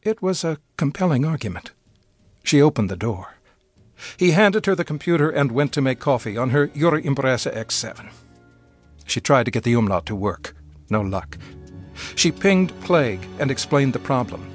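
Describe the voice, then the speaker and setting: A man's voice: mid-range voice, lively intonation, moderate pace. Male radio narrator over music. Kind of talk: scripted narration, reading a story aloud.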